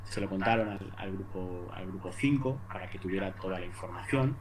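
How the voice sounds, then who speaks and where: A man with an accent from Spain giving an informal academic presentation, haltingly, repeating words and adding little tag questions. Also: low-pitched, crowd noise, poor audio. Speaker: university lecturer presenting at an academic conference. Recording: noticeable background noise.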